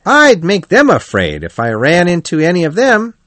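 Man as a high-pitched, boisterous storybook otter. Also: wildly swooping pitch, normal speed, a bit bright, dry recording, full character voice. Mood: boastful, cheerful. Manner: swaggering, exclamatory, talkative. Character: bold, boisterous male otter, confident and chatty.

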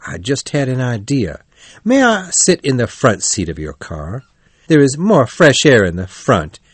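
A man's voice: medium pitch, big pitch swings, a little slow, slightly rough, no room sound. A man voicing a vain, boastful fat toad in a storybook, with a pompous, theatrical manner. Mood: sweet, pleading.

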